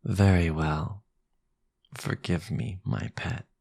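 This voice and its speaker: Deep, breathy, sinister vampire lord. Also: male voice, steady tone, a little mellow, dry recording, clean studio sound, exaggerated voice. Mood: possessive, commanding. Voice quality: breathy, gravelly. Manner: commanding, formal, drawn-out menacing delivery. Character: sinister possessive male vampire lord, silkily menacing. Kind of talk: boyfriend ASMR audio roleplay.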